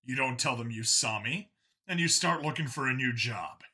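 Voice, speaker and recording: A man's voice: mid-range voice, moderate pace, sharp tone. A man voicing a tough human undercover cop in a sci-fi audiobook, wry and casually threatening. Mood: firm.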